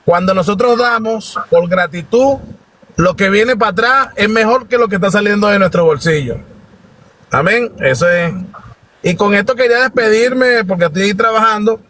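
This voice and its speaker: A man from Venezuela with a Venezuelan accent giving an informal testimony as a guest, respectful and halting, on faintly noisy live audio. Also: high-pitched, a bit bright. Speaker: guest participant in a live discussion.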